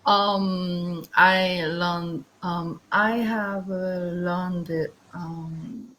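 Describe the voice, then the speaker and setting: A woman in her thirties from South Korea with a Korean accent, an English learner talking hesitantly on a video call with many pauses and fillers. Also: on the low side, steady tone, fairly bright tone, slight background noise. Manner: hesitant, halting with many ums. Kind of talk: casual online lesson chat.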